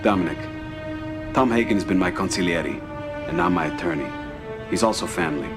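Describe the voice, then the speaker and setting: An actor playing a middle-aged American mafia boss in a game cutscene, cold and commanding, speaking in measured, terse phrases. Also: male voice, low-pitched, over music, close to an everyday voice. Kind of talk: video game cutscene acting.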